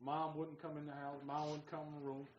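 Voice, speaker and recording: A man on a talk radio panel discussing things casually and haltingly, often repeating his phrases. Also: on the high side, completely flat delivery, muffled recording, a crowd in the background. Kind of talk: casual current-affairs discussion.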